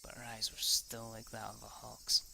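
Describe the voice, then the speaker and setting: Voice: mid-range voice, monotone, normal speed, vocal fry, pushed and bright, a little hiss or hum. A person reading a visual novel aloud as a dramatic narration, sometimes stumbling over a line and rereading it. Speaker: let's play narrator.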